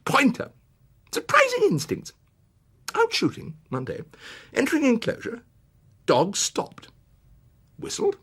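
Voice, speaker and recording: A man's voice: very high-pitched, huge pitch swings, takes it slowly, long pauses, fairly bright tone, pitched above the performer's own voice, exaggerated voice. An actor playing a loquacious, boastful male stranger who talks in jaunty, abrupt, clipped phrases. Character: loquacious, breezy male stranger, boastful coach-travelling tall-tale teller.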